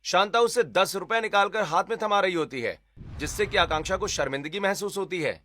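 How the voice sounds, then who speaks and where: A man reads a scripted household story as narrator. His voice is bright and sharp and sits a little high. There is faint noise in the background. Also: fairly quick.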